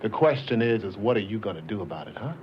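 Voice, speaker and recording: An exasperated customer, played by a man, presses his complaint with a lively, rising voice. Muffled old film track with light hiss. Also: on the low side, fast, close to an everyday voice. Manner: impatient, insistent. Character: exasperated customer chasing a wrong order.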